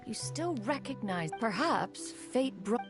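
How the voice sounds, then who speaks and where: A stately, aloof crane immortal from a video game. The elder mentor speaks formally and archaically, with expressive rises and falls, over prominent game music. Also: female voice, fairly high pitch, slow pace. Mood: stately, recounting. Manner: formal, archaic, calls herself "one". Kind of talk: scripted video game voice acting.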